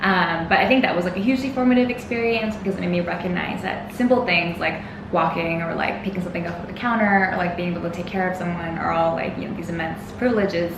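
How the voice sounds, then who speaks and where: Chatty young woman, low-voiced. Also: fast talker. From United States. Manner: chatty, laughs often, heavy use of "like" and "um". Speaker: guest, designer. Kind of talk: casual interview chat. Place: restaurant or eatery. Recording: roomy, noisy background.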